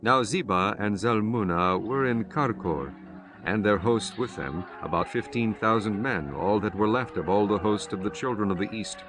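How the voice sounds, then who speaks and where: Low-voiced man narrating scripture over music. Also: moderate pace.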